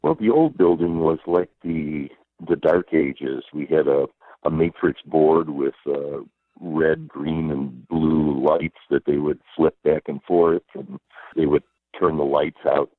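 A man with a strong, powerful voice, a former arena announcer, telling stories in a relaxed way during a phone interview. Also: extremely low pitch, no echo. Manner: unhurried storyteller, many fillers. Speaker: guest, former arena public address announcer. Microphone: telephone. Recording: phone line.

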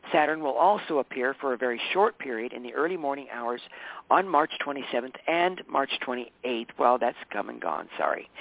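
Regular male guest, hoarse and tired, slightly high-pitched voice with gravel, chatty and self-correcting, heard over a dry phone line. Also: no room sound. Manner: chatty, warm, self-correcting, laughs often. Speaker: regular guest on a talk show. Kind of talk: casual radio show chat.

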